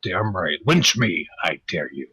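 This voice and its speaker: A man's voice: deep voice, big pitch swings, unhurried, crowd noise. A man chatting casually on a group live stream in a brash, sweary, mock-ranting manner. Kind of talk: casual chat.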